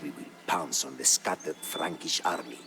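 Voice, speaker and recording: An actor playing an aged, solemn chronicler of military campaigns, narrating a game storyline in a grave, measured way. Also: male voice, a bass voice, gravelly, over music. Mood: determined. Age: older. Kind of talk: dramatic scripted storyline narration.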